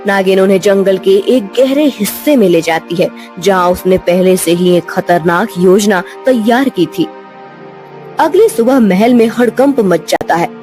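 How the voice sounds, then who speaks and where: A woman's voice: medium pitch, a little slow, warm tone. Woman, narrator, mid-range voice, scripted storytelling, background music.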